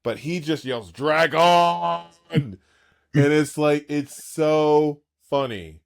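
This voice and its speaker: A man in his late thirties chatting casually as a podcast co-host, an animated and gushing fan. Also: a little high, very slow, creaky. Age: 30s.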